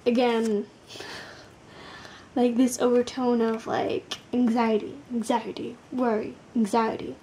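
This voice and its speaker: A woman doing a casual, blunt tarot card reading, tired, with a gravelly, sleepy voice, swearing casually and using lots of fillers. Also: a little high, little pitch movement, slow, dark tone, slight background noise.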